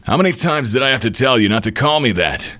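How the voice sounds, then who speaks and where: A man's voice: mid-range voice, animated, quick pace, bright, forceful tone, faint music behind, voice pushed up. A man voicing an anxious, self-doubting, brainy young man from a comic in an earnest, emotional way. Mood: flustered.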